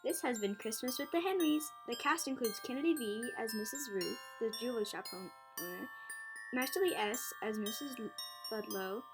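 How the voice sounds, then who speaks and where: A woman's voice: on the high side, normal speed. A young girl reading scripted narration for a school radio play. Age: child, about 9 or 10. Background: loud chiming music.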